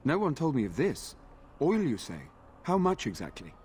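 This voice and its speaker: A man playing an earnest, courteous knight commander in a fantasy video game, speaking in a formal, measured way. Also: medium pitch, wildly swooping pitch, a little mellow, slight background noise. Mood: earnest, approving.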